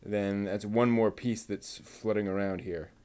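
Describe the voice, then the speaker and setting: A man giving casual running commentary while he builds a model kit, talking slowly and methodically and half to himself. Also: lowish voice, steady tone, darkish tone, a little hiss or hum. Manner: muttering to himself, slow and methodical.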